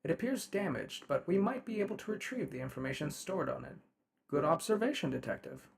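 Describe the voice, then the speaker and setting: A man voicing a polite, methodical robot investigator in an ASMR roleplay, speaking in a formal, measured, emotionally restrained way. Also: on the high side, lively intonation, gravelly. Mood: static, analytical. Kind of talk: ASMR roleplay character acting.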